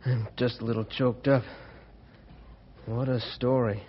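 An actor playing a soft-hearted, curious man asking questions in a radio drama. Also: mid-range voice, level tone, measured pace, close to an everyday voice. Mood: moved, curious. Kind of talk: old-time radio drama acting. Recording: dull old radio recording, faint noise.

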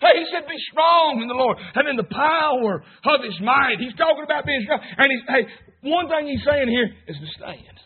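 A man's voice: very high voice, lively intonation, normal speed, husky. A man preaching an emphatic revival sermon, punctuating lines with "hey" and "amen". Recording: tinny phone-like sound.

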